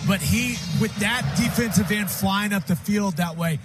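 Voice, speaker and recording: An American man chips in on a football radio broadcast with a high-pitched voice. He is conversational and enthusiastic, and music plays clearly in the background. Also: normal speed. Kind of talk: casual football commentary. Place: stadium radio booth.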